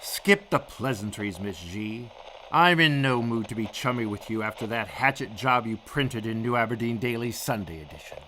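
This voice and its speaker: A man's voice: fairly low pitch, expressive, normal speed, pushed and bright, slight background noise, poor audio. Man playing a stern old-time police commissioner. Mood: cold, curt. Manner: stern, scolding, authoritative. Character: stern, indignant male police commissioner, gruffly authoritative. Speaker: police commissioner, phone-in caller. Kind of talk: 1940s radio drama acting.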